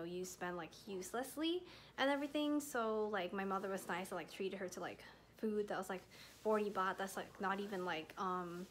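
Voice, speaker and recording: A young woman in her twenties, raised in the US, rambling casually to her phone camera in a hotel room, filling every pause with "like". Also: mid-range voice, slight background noise. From Thai, raised in the US. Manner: rambling, heavy use of "like", soft and chatty. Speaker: travel vlogger. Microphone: phone camera at arm's length.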